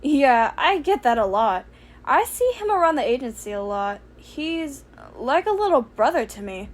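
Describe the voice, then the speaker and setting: A woman's voice: high voice, sing-song, normal speed. A woman voicing a brash young professional heroine in an audiobook, casual and sardonic with a caring streak. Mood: casual, fond. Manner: casual, exasperated, emphatic. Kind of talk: audiobook character voice for fan fiction.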